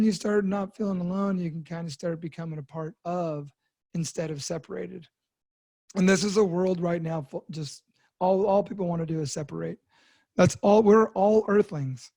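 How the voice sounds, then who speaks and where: Fifty-something guy talking about his life, lots of ums and restarts, soft mellow voice, kinda high, dry sound. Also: no echo. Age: 50s. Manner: halting, with many fillers and restarts. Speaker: podcast host sharing recovery story. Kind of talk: candid personal monologue.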